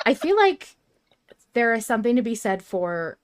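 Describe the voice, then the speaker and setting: A woman hosting a casual podcast discussion about fiction, talking in an animated, emphatic and slangy way. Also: a little high, moderate pace. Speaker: podcast host.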